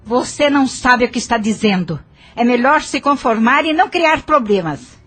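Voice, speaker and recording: A woman plays a middle-aged Brazilian aunt in an audio drama, fairly high-voiced with wide melodic swings. She is measured and coaxing, placating but firm, over faint music. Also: expressive, a bit bright. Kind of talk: audio novel acting.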